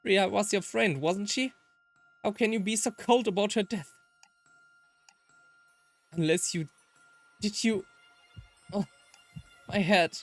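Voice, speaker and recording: A man's voice: sits high, slow, pauses often, no room sound, faint music behind. A man who is a native German speaker voicing a distraught, hot-headed young visual novel protagonist in an emotional, exclamatory read-aloud. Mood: frantic, accusing.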